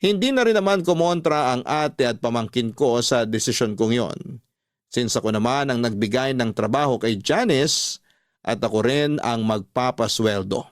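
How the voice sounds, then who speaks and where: A man with a medium-pitched voice works as a radio storyteller. He reads a scripted first-person narrative. The sound is dry, as in a studio. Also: moderate pace, dry recording.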